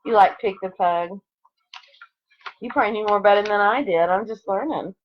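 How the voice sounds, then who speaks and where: Female primary teacher for online story time, mid-range voice, chatty and expressive with young children, dull home-recorded sound. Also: medium pitch, normal speed. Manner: chatty asides between expressively read story lines. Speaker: second grade teacher. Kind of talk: read-aloud to children online.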